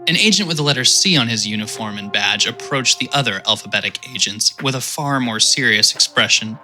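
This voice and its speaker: Bright-voiced male audio drama narrator. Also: mid-range voice, expressive, brisk. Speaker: host and narrator. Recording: faint music behind.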